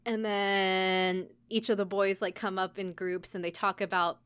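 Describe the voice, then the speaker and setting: A woman's voice: mid-range voice, little pitch movement, unhurried, fairly bright tone, clean tone, dry recording. A woman hosting a podcast, chatting casually and acting out bits as she recaps a show. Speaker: podcast host. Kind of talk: casual chat recapping an anime.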